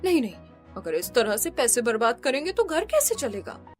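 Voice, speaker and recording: A woman's voice: on the high side, big pitch swings, moderate pace, over music. A woman voicing a fretful, thrifty older mother-in-law who speaks in exclamations in a cartoon. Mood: worried. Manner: fretting, exclamatory.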